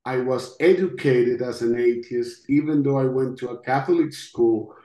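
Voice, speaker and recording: A middle-aged man from Costa Rica with a Costa Rican Spanish accent gives personal testimony in an interview, speaking in a halting, reflective way. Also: mid-range voice, dark tone. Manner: halting, reflective, with long pauses. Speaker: interview guest, podcast host. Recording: roomy, echoing sound.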